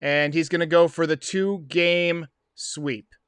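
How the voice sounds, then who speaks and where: A man in his thirties hosts a casual sports livestream in a high-pitched voice that is a little hoarse. He talks emphatically, like an excited fan, repeating phrases for effect. The sound is close and dry, from a desk microphone. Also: takes it slowly, dry recording, soft background music. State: slightly hoarse. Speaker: host of a baseball livestream show. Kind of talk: casual livestream postgame commentary. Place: small home room.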